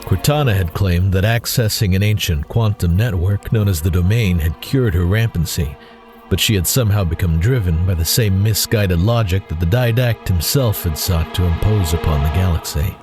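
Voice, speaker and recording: Casting: male narrator, deep voice, scripted science fiction storytelling with soft music underneath. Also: normal speed.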